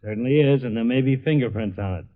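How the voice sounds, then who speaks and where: A man's voice: medium pitch, quick pace, natural voice. An actor playing a terse, blunt, sardonic male police lieutenant in an old-time radio detective drama. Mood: businesslike. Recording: thin, dull vintage radio recording.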